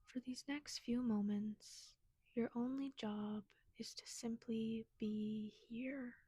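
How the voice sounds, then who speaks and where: A woman leading a guided meditation in a gentle, soothing, soft and breathy manner. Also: on the high side, fairly even intonation, slow, no room sound.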